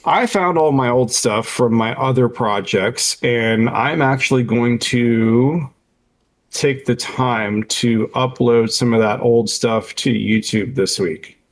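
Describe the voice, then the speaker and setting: Casual man with a medium-pitched voice. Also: slow. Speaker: podcast co-host. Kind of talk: casual chat.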